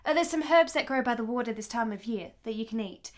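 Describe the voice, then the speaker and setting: A woman's voice: fairly high pitch, lively intonation, fast talker, lightly acted. A woman playing a flirtatious, affectionate lady in a period radio play, with a teasing, genteel, theatrical manner.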